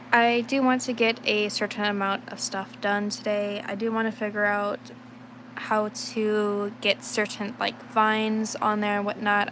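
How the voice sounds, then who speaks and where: Slightly raspy sick young woman streamer. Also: mid-range voice, background noise. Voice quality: slight rasp. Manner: rambling, thinks aloud, many fillers. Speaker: livestreaming digital artist. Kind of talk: casual chat while drawing on stream.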